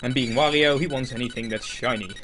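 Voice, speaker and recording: A man's voice: mid-range voice, fast talker. A young man from the Netherlands with a Dutch accent, commentating casually on a video game he is playing, rambling and self-mocking with lots of fillers, with game music behind him. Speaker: let's play host.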